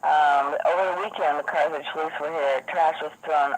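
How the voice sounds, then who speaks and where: Hoarse lady calling the cops, keeps explaining herself, noisy phone line recording. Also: sits low, fairly even intonation, brisk. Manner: insistent, over-explaining. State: hoarse, lost voice. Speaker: caller to police. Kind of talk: phone call complaint.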